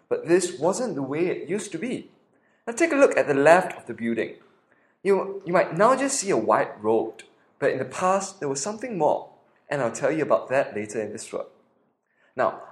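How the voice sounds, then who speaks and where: Man, Singaporean accent, lively rising and falling delivery, scripted tour narration, roomy echo, faint music behind. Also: mid-range voice. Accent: Singaporean English. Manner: animated, guiding the listener step by step. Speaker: podcast host and audio tour guide.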